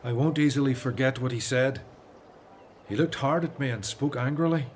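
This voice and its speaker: Actor as earnest Hebrew leader, mid-pitched. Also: male voice, normal speed, a little hiss or hum, lightly acted. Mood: grave, troubled. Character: Moses, earnest resolute Hebrew leader of his people. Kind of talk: religious radio drama acting.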